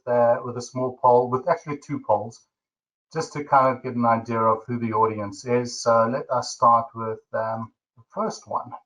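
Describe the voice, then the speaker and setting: A man's voice: medium pitch, a bit bright, rough recording. A man with a South African accent hosting a webinar, chatty and jokey with lots of fillers. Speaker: webinar host.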